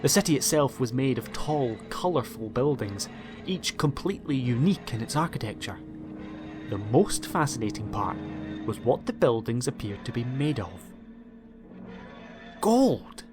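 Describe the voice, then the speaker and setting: A man's voice: medium pitch, animated, moderate pace. A man narrating a scripted audiobook story in a dramatic storytelling style. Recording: music behind the voice.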